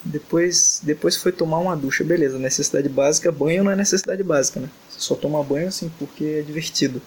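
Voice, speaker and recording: A man's voice: a little high, fast, slightly rough, low-quality recording. Tired, exasperated young man podcasting. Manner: exasperated, joking, complaining. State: tired late at night. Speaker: podcast host. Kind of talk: casual podcast chat, reading a fanfic aloud.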